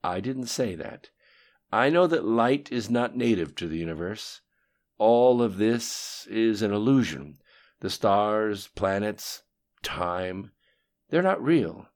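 Man voicing a cold, composed villainous henchman, raised pitch. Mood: calm, insistent. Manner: cool, deferential yet unafraid, matter-of-fact. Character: cold, composed male conspirator reporting to his dark master. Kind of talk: audiobook dialogue acting.

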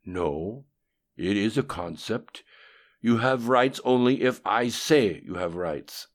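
A man's voice: lowish voice, lively intonation, slow pace, voice pushed up. A man voicing a menacing, disembodied supernatural tyrant in an audiobook, with a commanding, scolding manner. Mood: angry, domineering. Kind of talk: audiobook dialogue acting.

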